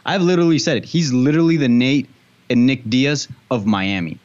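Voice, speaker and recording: A man's voice: mid-range voice, a little fast, a little mellow. A man co-hosting a fight show, giving opinions in a loose, conversational way peppered with filler phrases, on slightly dull audio. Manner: conversational, peppered with "like" and "you know". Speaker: co-host and MMA pundit. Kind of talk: casual sports talk and opinion.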